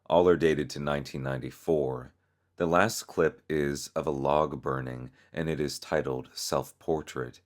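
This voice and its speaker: A man's voice: rumbling low, fairly even intonation, unhurried. A man reading scripted story narration as a narrator.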